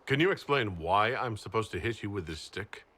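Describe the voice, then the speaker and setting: A composed game hero who leads with dry calm. His voice is fairly low and husky, with a bright, firm edge. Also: normal speed. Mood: dry, steady. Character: composed heroic male leader, calm and dry. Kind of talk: video game character acting.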